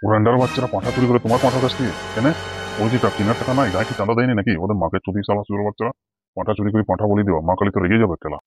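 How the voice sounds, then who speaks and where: Cartoon hothead, male actor: coarse village man, low-pitched, rattling along very fast, music underneath. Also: steady tone, close to an everyday voice. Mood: indignant. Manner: blustering, coarse, swearing. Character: crude, hot-tempered, lustful village man. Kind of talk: comedy cartoon dialogue. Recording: music behind the voice.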